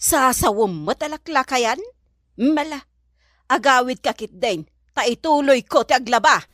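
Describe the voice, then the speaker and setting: A woman's voice: high voice, measured pace, a bit bright, dry recording, clean studio sound. A woman acting an exasperated, nagging household woman in an Ilocano radio comedy drama, speaking emphatically with an Ilocano accent. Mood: annoyed, dismissive.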